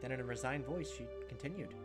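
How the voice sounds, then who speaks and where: A young man hosts a let's play, reading visual novel text aloud and adding his own commentary. Game music plays clearly behind his voice. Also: mid-range voice, fast talker. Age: young adult.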